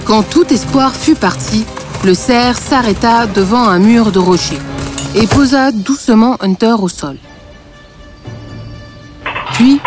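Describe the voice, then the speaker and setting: A woman narrating a scripted fairy tale, with a deadpan streak. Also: on the high side.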